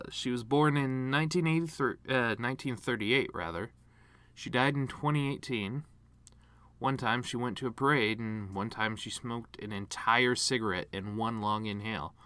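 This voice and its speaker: A man performing a dramatic monologue as a bitter, grieving horse giving his mother's eulogy, rambling with sardonic, self-deprecating deadpan humor. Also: mid-range voice, no room sound. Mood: flippant, bitter.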